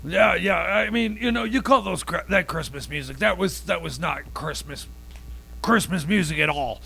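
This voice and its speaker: A man playing Jeb, an excitable, agitated hillbilly who stammers and bursts into shouting in a comedy radio bit. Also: high-pitched, moderate pace, creaky, slight background noise, heavily acted. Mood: indignant. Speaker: co-host.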